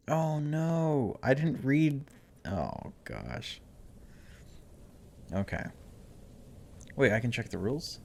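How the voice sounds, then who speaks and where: A man giving a casual, chatty let's-play, reading game text aloud and adding wry asides. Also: fairly low pitch. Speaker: video game streamer.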